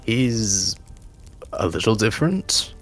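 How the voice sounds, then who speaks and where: Male game master for tabletop narration, deep and unhurried, halting delivery. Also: expressive, husky. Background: soft background music.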